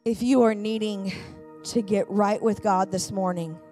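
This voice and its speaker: A woman's voice: mid-range voice, steady tone, a little slow, warm tone, faint music behind. A middle-aged woman pastor preaching a sermon with personal stories, speaking emphatically into a handheld microphone on a church stage. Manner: emphatic and fervent, with repeated phrases. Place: large church auditorium, on stage.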